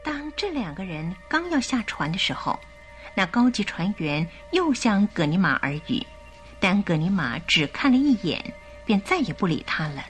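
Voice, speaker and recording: A woman narrating a scripted radio drama in an expressive, carefully enunciated storytelling style. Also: medium pitch, moderate pace, soft background music.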